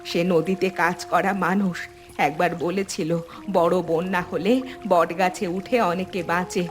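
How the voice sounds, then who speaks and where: A woman's voice: on the low side, flat delivery, a little slow, soft background music, lightly acted. Firm, urging village mother played by a woman. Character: practical, determined village mother of a small boy. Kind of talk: cartoon dialogue.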